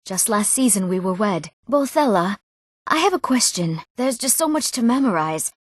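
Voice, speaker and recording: An eager young townswoman from a fantasy video game, played by an actress. Her voice sits at a medium female pitch and is expressive and clearly enunciated. The sound is close and dry, like a studio take. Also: moderate pace, no echo, lightly acted. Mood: polite, earnest. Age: young adult. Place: recording studio.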